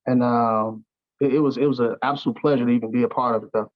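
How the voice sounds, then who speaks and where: A man's voice: mid-range voice, steady tone, quick pace, dull audio. A man speaking as an actor in an interview, earnest and conversational, often repeating words as he searches for the next phrase. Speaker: stage actor interviewed as a guest.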